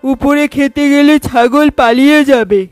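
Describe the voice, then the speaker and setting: A man's voice: extremely high pitch, a little slow, dry recording, soft background music. A man voicing a tiny, clever, politely helpful trickster mouse in a children's cartoon. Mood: advising, sly. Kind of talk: children's cartoon fable acting.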